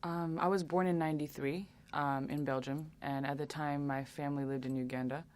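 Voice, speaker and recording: A young woman answering interview questions earnestly, with many pauses and restarts. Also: low-pitched, steady tone, darkish tone. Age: young adult. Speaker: interview guest.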